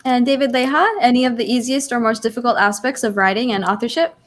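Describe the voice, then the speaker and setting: A woman's voice: a little high, fairly even intonation, fast talker. A woman hosting an author panel, talking in an informal, wordy way and often saying "like". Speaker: panel host. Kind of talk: casual interview hosting.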